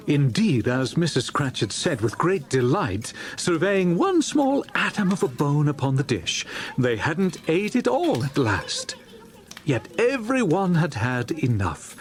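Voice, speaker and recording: Male audiobook narrator for a classic tale: theatrical and expressive, wide pitch swings, slightly high register. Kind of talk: dramatic scripted storybook narration.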